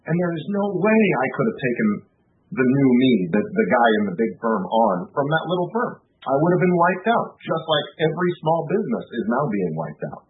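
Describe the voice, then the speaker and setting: A man, a lawyer and author, talking casually about his novel in an interview, thoughtful and halting, with frequent restarts. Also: medium pitch, big pitch swings. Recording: tinny phone line.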